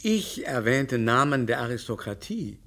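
An actor playing a haughty, capricious aristocratic baron in a radio play, speaking in a pompous, mannered, scornful way. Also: male voice, medium pitch, big pitch swings, normal speed, fairly bright tone. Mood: disdainful.

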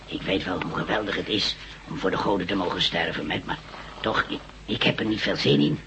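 A man's voice: low voice, a little fast, natural voice. A man playing a homesick, talkative labourer in a radio drama, coaxing his companion in a hesitant, informal way. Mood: uneasy, exasperated. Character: chatty, homesick male labourer trying to befriend cellmate. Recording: dull, very noisy old radio recording.

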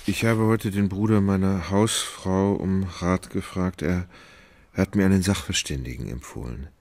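An actor in a radio play, playing a gentle, indecisive landowner in love who speaks hesitantly and anxiously. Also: male voice, low voice, a little slow. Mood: anxious, tender. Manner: hesitant, wavering. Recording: studio radio play with faint music behind.

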